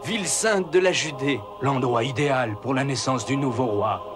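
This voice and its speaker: An actor playing an earnest, dignified traveller in a cartoon, speaking in a stately, assured way. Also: male voice, medium pitch, normal speed, over music, lightly acted. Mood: solemn. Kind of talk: French cartoon dub acting.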